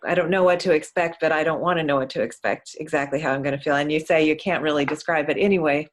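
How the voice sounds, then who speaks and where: An audience member speaking casually, thinking aloud and halting while searching for words. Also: mid-range voice, fairly even intonation, slight background noise. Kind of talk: casual conversation.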